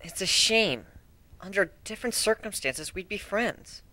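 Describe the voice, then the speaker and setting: Male reader as a young female cartoon pony, bookish, hesitant, questioning, raised pitch, lively swings. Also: unhurried, full character voice, poor audio. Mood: wistful, curious. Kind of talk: amateur dramatic reading of fan fiction.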